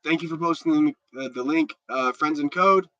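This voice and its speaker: A man's voice: a little high, normal speed, sharp tone, dry recording, dull audio. A stuffed-up man hosting a casual livestream, chatting loosely and answering viewer comments with many restarts. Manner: rambling and chatty, with repeated restarts. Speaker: livestream host.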